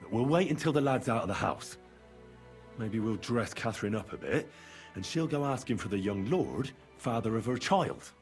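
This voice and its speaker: A man acting a calm, measured schemer in a video game drama. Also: medium pitch, close to an everyday voice. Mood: conspiratorial. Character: calm male schemer laying out a plot. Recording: music under the voice.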